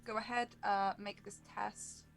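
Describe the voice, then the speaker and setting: A woman's voice: medium pitch, little pitch movement, a little slow, gravelly, faint music behind. A woman narrating a tabletop role-playing game as its game master.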